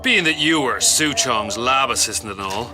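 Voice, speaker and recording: An actor playing a sadistic, mockingly affectionate crime boss in a video game, with an Irish accent and a taunting, drawn-out delivery. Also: male voice, medium pitch, animated, natural voice. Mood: mocking menace. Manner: taunting, theatrical, drawn-out phrasing. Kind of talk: video game cutscene acting. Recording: music behind the voice.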